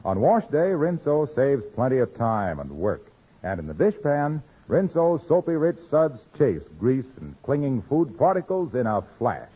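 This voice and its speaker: Old timey radio announcer guy, lively, slightly rough voice, selling hard, tinny sound. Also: medium pitch, measured pace. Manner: polished, emphatic salesmanship. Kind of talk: 1950s radio announcing and sponsor pitch.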